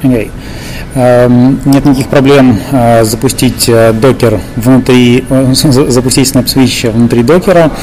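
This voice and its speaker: A Russian-accented man with an average-pitched voice interviews and translates. He speaks haltingly, pausing often between short pieces, with some noise in the live recording. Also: steady tone, a little mellow. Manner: halting, piecemeal delivery with long hesitations. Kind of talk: interview questions and consecutive translation. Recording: slightly noisy live recording.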